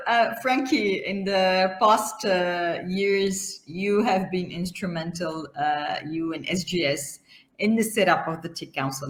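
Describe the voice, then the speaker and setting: A woman hosting a semi-formal online interview, speaking haltingly with frequent hesitant fillers. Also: on the low side, a bit bright. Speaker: interview host. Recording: roomy, echoing sound.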